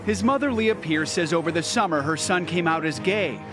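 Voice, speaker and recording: A man's voice: on the high side, expressive, normal speed, music in the background. A man reading a scripted news report as a reporter.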